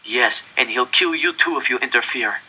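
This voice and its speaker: A man reading aloud plays a worn-down fellow prisoner giving a hushed, grim warning. The voice stays quiet but bright. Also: mid-range voice, normal speed, husky, low-quality recording. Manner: hushed, urgent whisper. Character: weary older male fellow prisoner.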